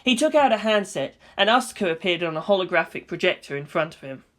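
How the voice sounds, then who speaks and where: A woman's voice: on the low side, animated, quick pace, fairly bright tone. A woman reading scripted story narration in a dramatic style.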